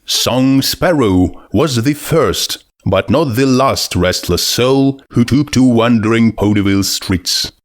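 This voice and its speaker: A man narrating a scripted story in a measured, deliberate storytelling manner. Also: lowish voice. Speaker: fan fiction audiobook narrator.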